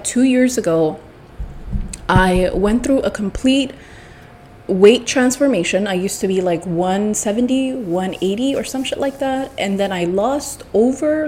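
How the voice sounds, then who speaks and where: A woman chatting casually and effusively to her followers, rambling with drawn-out emphatic words, her throat congested and cracking a little. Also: fairly high pitch, mellow, slight background noise. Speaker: vlogger addressing followers.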